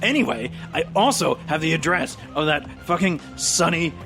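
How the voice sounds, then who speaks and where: A man's voice: a little high, lively intonation, moderate pace, fairly bright tone. A man playing a flustered, tired radio host in improvised tabletop roleplay, stammering and repeating himself as he rambles. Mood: weary, scattered. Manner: stammering, repeating words, scatterbrained. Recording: music bed under the voice.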